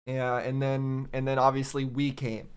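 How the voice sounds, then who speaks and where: A man giving casual, thoughtful reaction commentary, thinking aloud in a halting way. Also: medium pitch, completely flat delivery, a little hiss or hum. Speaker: reaction video host.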